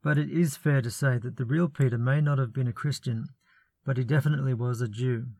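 Man, mid-range voice, calm reasoned commentary, lightly wry, dry close recording. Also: medium pitch, a little fast, gravelly, dry recording. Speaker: biblical studies presenter. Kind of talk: measured scripted commentary.

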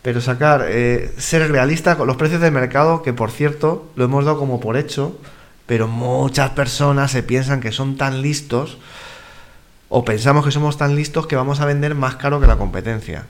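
A man's voice: mid-range voice, moderate pace. A man from giving casual, emphatic advice as a radio contributor, bluntly repeating his points. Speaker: financial advisor, radio show contributor. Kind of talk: casual advisory talk. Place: radio studio.

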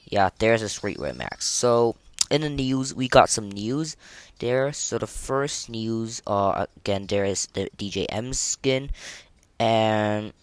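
A man hosting a casual solo gaming podcast, speaking in a halting way and often stumbling and repeating words. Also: lowish voice, animated, clean tone. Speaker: podcast host.

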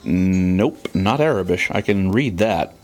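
A man's voice: deep voice, expressive, slow pace, a little mellow, exaggerated voice. A man playing an opinionated, impatient sci-fi geek in a comedic audio drama, dry and brusque. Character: know-it-all male Star Wars geek, impatient. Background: music.

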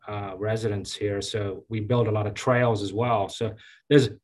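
A man in his fifties from Zambia, a county engineer giving relaxed, chatty interview answers with many fillers and restarts. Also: lowish voice, moderate pace. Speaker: county engineer and public works director.

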